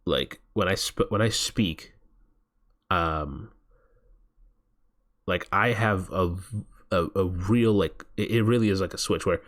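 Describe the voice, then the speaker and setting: Man, very deep voice, rambling casual stream chat. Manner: rambling, reads viewer chat aloud. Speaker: streamer hosting a watch party. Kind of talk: casual chat during a movie watch party.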